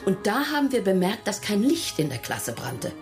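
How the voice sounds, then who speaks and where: A woman's voice: mid-range voice, sing-song, moderate pace, over music, close to an everyday voice. An actress playing a middle-aged, plain-spoken school caretaker in a radio crime drama, scornful and indignant as she speaks. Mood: defensive.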